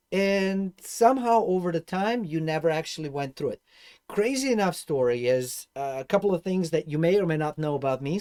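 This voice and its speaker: A man from Serbia with a Serbian accent speaks in a fairly high voice. He teaches informally through personal stories, addressing viewers directly, stressing points emphatically and posing rhetorical questions. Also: darkish tone. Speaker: host and instructor of an investing course.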